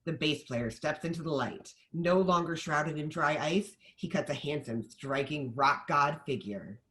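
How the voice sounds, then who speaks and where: A woman's voice: low voice, a little slow, a bit bright, poor audio. A woman reading stage directions as scripted narration at a screenplay table read.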